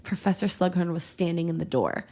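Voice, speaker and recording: A woman with a noticeably creaky voice hosts a comedy read-aloud. She narrates fiction casually and expressively, with a playful touch. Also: fairly low pitch, brisk, dark tone. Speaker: podcast host reading fiction aloud.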